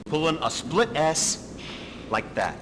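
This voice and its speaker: Suspicious male fighter pilot, animated pitch, insistent, in a noisy reverberant space. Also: slow pace. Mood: insistent, uneasy. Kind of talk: casual dramatic dialogue.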